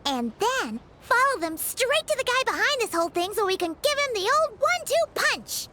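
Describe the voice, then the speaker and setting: A woman voicing a tiny, excitable female floating companion who chirps eagerly and talks about herself in the third person, in a video game cutscene. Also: very high-pitched, moderate pace, noisy background. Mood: excited. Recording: game cutscene with clear background music.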